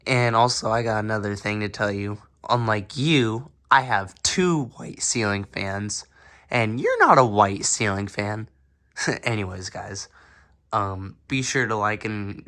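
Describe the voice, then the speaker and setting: A man's voice: fairly low pitch, fairly bright tone. A man who has been sick and sounds a bit off, talking casually and hesitantly at home, full of ums and unsure asides. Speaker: ceiling fan video maker. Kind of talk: casual filmed show-and-tell. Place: home room indoors.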